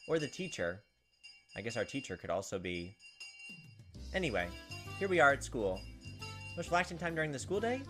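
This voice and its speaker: A man narrates a visual novel for a gaming video and chats casually about it. His voice is medium in pitch with lots of movement, over quiet background music. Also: big pitch swings, normal speed, pauses often. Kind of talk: visual novel playthrough, read-aloud narration and casual commentary.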